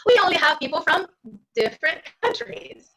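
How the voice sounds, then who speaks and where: A woman's voice: extremely high pitch, very animated, normal speed, sharp tone. Upbeat Brazilian woman teaching English live. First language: Portuguese. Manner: upbeat, chatty, talks to viewers directly. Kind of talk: live-streamed exam prep lesson. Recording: slightly dull live-stream audio.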